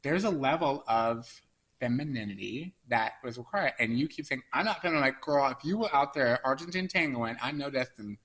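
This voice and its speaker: A man co-hosting a relaxed podcast chat, playful and quick to tease his co-host. His pitch moves around a lot and his voice sounds bright and forward. He talks loosely, restarting sentences and stacking fillers. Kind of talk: casual chat.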